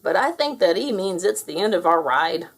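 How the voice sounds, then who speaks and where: A woman's voice: lowish voice, sing-song, moderate pace, breathy edge, exaggerated voice. A woman voicing an earnest, simple farm dog in a children's storybook, plain-spoken.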